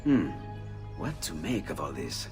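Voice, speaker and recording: A warm, eccentric Italian inventor from a Renaissance video game. His pitch leaps around wildly as he exclaims and muses. Loud music plays and the voice rings with long reverb. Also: big pitch swings, unhurried. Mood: excited, musing. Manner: exclamatory and musing, swinging from delight to muttering. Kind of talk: video game cutscene acting.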